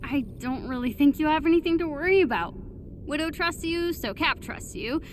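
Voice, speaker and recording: A woman acting an upbeat young American game heroine with a fairly high voice. She is casual and chummy, encouraging and teasing. A slight noise sits behind her. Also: normal speed, close to an everyday voice. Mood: reassuring, teasing.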